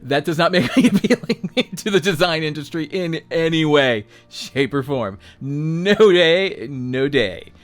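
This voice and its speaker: Man, sixties, unscripted solo talk, frank and sweary, animated pitch, faint music behind. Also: unhurried, few pauses. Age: 60s. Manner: blunt, profane, self-mocking, lots of "um" and "all right?". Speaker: podcast host, self-employed designer.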